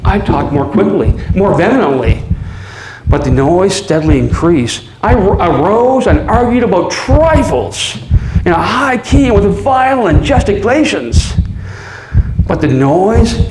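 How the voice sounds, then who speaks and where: A man playing a boastful, unraveling mad murderer in a theatrical live reading of gothic horror, with dramatic pauses and swings between hushed and frantic. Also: on the high side, sing-song, pitched above the performer's own voice. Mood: frantic, raving. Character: gleeful, boastful, unraveling mad murderer confessing his crime. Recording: echoey room with background noise.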